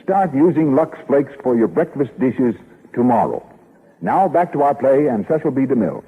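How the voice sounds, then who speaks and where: Male 1930s radio announcer, sponsor-read style, husky and animated, muffled vintage broadcast. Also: medium pitch, moderate pace. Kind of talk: 1930s scripted radio advertising.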